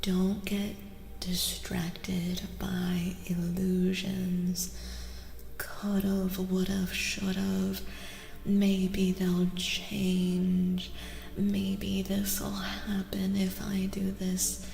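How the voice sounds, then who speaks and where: A woman doing a soft-spoken ASMR tarot reading, moving between a breathy whisper and a soft voice, soothing and gently reassuring. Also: mid-range voice, monotone. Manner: soothing, gently reassuring, repeats phrases slowly. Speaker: ASMR streamer and tarot reader. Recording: reverberant echo with music behind. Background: music.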